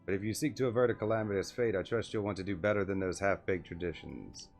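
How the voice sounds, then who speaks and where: Casting: male player as stern old game sorceress, sardonic, haughty, lowish register, faint music behind. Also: fast talker, gravelly, darkish tone. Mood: sardonic, ominous. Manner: dry, haughty, wordy. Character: crabby, intimidating old female sorcerer, dry and sardonic.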